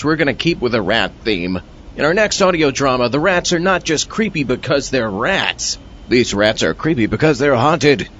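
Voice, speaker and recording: A man presents as host of an audio drama programme in an animated, scripted style. The sound is a little dull. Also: mid-range voice, a little hiss or hum. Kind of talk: scripted show introduction.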